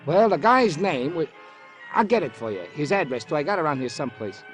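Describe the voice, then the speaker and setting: An actor playing a fussy, self-righteous bar owner in an old radio crime drama, pompous and long-winded, with formal turns of phrase. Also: male voice, on the high side, expressive, fairly quick, faint music behind, natural voice. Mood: confiding. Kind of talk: 1950s American radio crime drama acting.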